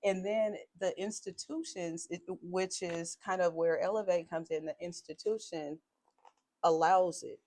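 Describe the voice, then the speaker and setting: A woman's voice: lowish voice, steady tone, unhurried, darkish tone. A woman speaking in a strong voice, making her points assertively in a podcast conversation. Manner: assertive, emphatic, builds points in lists.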